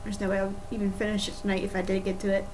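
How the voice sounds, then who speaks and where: Low-key young woman, noisy background. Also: racing. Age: young adult. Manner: low-key, musing to herself in short bursts. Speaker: animator livestreaming her work. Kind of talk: casual livestream chat while working. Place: indoor room at a desk. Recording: clear steady background noise.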